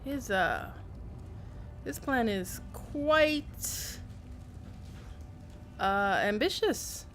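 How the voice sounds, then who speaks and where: A woman's voice: a little high, expressive, very slow, pauses often, fairly bright tone, slight background noise. A woman game streamer who reads in-game text aloud and adds casual commentary.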